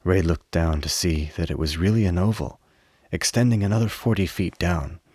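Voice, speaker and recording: A man narrating a radio play with measured, literary dramatic storytelling. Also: sits low, darkish tone, breathy edge, no echo, studio quality.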